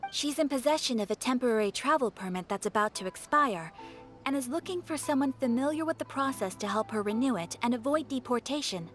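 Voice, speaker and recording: A polite young female guild receptionist in a fantasy game. Her voice is high and composed, with formal, businesslike phrasing over background music. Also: lively intonation, brisk. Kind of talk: scripted video game dialogue.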